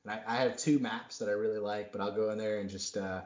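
A man's voice: lowish voice, steady tone, fast talker, darkish tone. A man in his thirties hosting a podcast, talking in a rambling, self-deprecating way full of fillers. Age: 30s. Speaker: podcast host. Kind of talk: casual chat. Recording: roomy, dull lo-fi sound.

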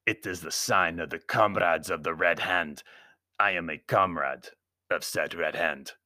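A man's voice: sits low, measured pace, sharp tone, no room sound, clean studio sound, full character voice. A man voicing a sinister foreign villain with a guttural accent, pompous and menacing, in a storybook reading. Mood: menacing, grandiose. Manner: pompous, melodramatic threats. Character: sinister foreign villain, fanatical secret-society thug, menacing and theatrical.

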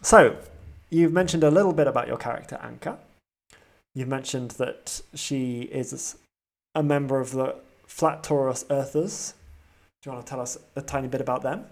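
A man's voice: mid-range voice, moderate pace, vocal fry. A man hosting a role-playing podcast as game master, narrating the scene and slipping in dry, wry quips.

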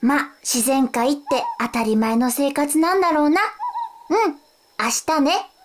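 A very high, girlish voice, playing a lost child in a radio play. She is blunt, sulky and easily exasperated, with faint noise behind her. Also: measured pace. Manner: whiny, exasperated, casual. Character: lost young girl, blunt, anxious, sulky. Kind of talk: audio drama acting.

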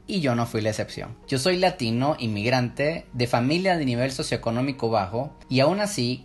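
A man from Venezuela with a Venezuelan accent, hosting his own podcast in a measured solo monologue, slowing down when he poses questions to listeners. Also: medium pitch. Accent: Venezuelan Spanish. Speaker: podcast host.

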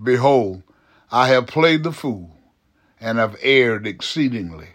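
A man reading the lines of a remorseful older king, slow and subdued. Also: mid-range voice, lively intonation, a bit bright.

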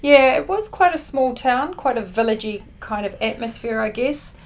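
A woman's voice: fairly high pitch, expressive, moderate pace. New Zealand woman, noisy ambient background. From born in the Netherlands, grew up in New Zealand. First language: Dutch. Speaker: podcast guest, company chief executive. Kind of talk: conversational interview answers.

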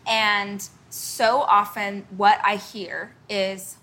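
A woman's voice: mid-range voice, little pitch movement, extremely slow, bright, forceful tone. A woman author and speaker, a podcast guest, talking with passion and emphatically urging listeners to act. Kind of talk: motivational interview talk.

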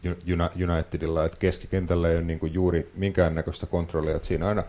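A man's voice: sits low, flat delivery, mellow. A man hosting a football podcast, talking casually as he previews upcoming matches.

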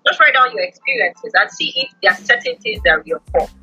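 A woman's voice: on the high side, sing-song, a little fast, bright, forceful tone, husky, soft background music. A woman, a podcast guest giving instructive advice and often checking with tag questions.

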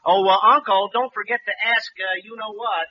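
An actor playing a bumbling, lovesick young nephew, hesitant and earnest, in a 1940s radio comedy. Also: male voice, high voice, steady tone, normal speed, lightly acted. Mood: anxious. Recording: dull vintage radio recording.

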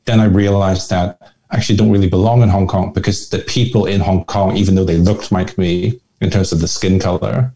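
A man from Northern Ireland speaks as an interview guest with a very low, warm and mellow voice. He tells his story in a relaxed, reflective way and often repeats a word while he searches for the next one. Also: brisk. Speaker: guest, investor. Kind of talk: conversational storytelling.

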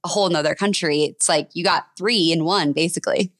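A woman in her twenties hosting a podcast, asking eager, drawn-out questions. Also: low-pitched, no room sound, studio quality. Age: 20s. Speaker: podcast host. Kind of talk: podcast interviewing.

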